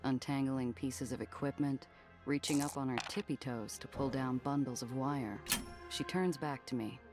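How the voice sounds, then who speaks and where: An actress playing a world-weary woman smuggler who narrates her story in a wry, deadpan hard-boiled style. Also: rumbling low, normal speed. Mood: calm, observant. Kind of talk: hard-boiled first-person game narration. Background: music.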